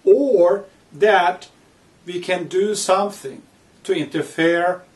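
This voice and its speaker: A man reading his own book aloud with commentary, speaking in deliberate, emphatic phrases broken by pauses. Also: fairly high pitch. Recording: distant microphone.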